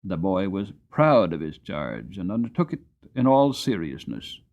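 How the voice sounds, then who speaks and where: A man narrating an audiobook story in the first person, with a dramatic, gentle storytelling manner. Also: low voice, wildly swooping pitch, a little slow, lo-fi sound.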